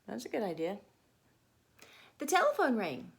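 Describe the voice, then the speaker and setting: A woman storytime teacher reading a picture book to children, expressive and deliberate, with chatty asides and questions. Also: fairly high pitch, vocal fry.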